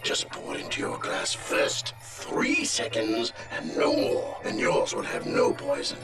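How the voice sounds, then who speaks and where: Gravelly scheming crime lord. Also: male voice, extremely low pitch, wildly swooping pitch, a little slow, far from the mic, over loud music, full character voice. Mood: sly, scheming. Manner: sly, gloating. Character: sinister scheming old male crime lord, fatherly. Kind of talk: video game villain acting.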